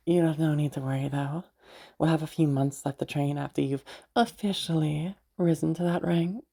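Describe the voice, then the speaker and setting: A woman acts out a young noblewoman mage in an intimate audio roleplay. Her voice is low and mellow, with a gravelly fry through it. She talks in halting, stammering bursts, flustered yet fondly protective of her knight. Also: steady tone. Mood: playful, affectionate. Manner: halting, stammering, giggly, mild swearing.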